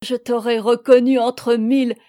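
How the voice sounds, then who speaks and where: A man's voice: very high-pitched, little pitch movement, measured pace, warm tone. A woman voicing a tender, impetuous father and husband back after a long absence, speaking in emphatic, pleading exclamations. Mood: tender, joyful.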